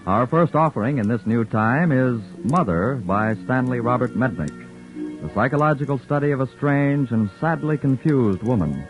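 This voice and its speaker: A man making formal, measured announcements as a vintage radio announcer. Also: mid-range voice, animated. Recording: tinny old radio broadcast with music.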